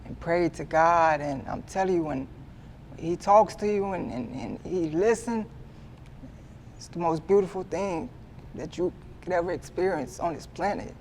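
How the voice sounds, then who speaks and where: A man in his twenties giving a candid sit-down interview, earnest and halting, often repeating himself as he restarts. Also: fairly high pitch, slight background noise. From Midwestern US. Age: 20s. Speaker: guest artist.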